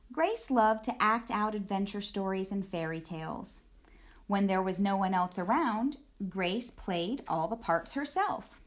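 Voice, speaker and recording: A woman reading a children's picture book aloud as an expressive storyteller. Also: medium pitch. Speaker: storybook reader.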